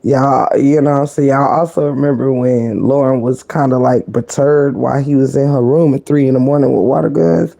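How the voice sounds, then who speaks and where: Deep, gravelly woman gossiping on stream. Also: fairly even intonation, fairly quick, few pauses. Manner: sassy and blunt, heavy cursing; talking low to keep quiet. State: croaky voice. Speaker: live-stream commentator. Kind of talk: casual live-stream gossip commentary.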